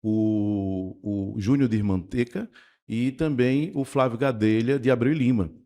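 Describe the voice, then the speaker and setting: A man with a medium-pitched voice co-hosts a radio interview. He recaps recent events and then builds up to his question for the guest. His delivery is conversational and orderly. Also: measured pace, dark tone. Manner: framing questions, recapping news. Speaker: radio co-host.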